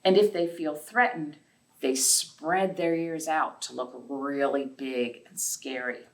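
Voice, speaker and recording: A woman's voice: sits low, steady tone, slow. A woman hosting a children's storytime, reading aloud and chatting simply to young listeners, with questions and asides. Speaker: library storytime host. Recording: distant, off-mic voice.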